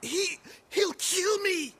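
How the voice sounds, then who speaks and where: An actor voicing a terrified captured bandit henchman in a video game, stammering and pleading for his life with a raspy voice. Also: extremely high pitch, lively intonation, very slow, fairly bright tone, exaggerated voice. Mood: desperate pleading. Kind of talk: dramatic video game cutscene acting.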